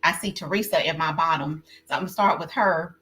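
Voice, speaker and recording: A woman's voice: low voice, brisk, a bit bright, rough recording. A woman hosting a live online panel over a video call, effusive and chatty, with lots of fillers and repeats. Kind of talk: casual live-stream hosting.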